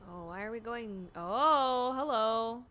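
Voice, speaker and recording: A woman's voice: a little high, expressive, slow pace, sharp tone, slight background noise. A woman doing playful, reactive live game commentary and reading on-screen text aloud as she plays. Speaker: streamer, game player.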